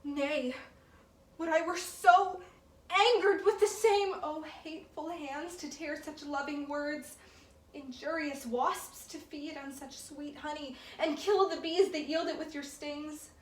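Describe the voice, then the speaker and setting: A woman's voice: high voice, slow pace. A woman acting a passionate, lovesick young noblewoman in a theatrical Shakespearean stage monologue, dramatic and emphatic, lingering on words. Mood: anguished, impassioned. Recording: roomy, distant mic, faint background noise.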